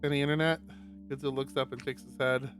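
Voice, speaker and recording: A man's voice: medium pitch, flat delivery, fast talker, fairly bright tone. A man streaming a visual novel, reading first-person fiction aloud. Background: guitar music.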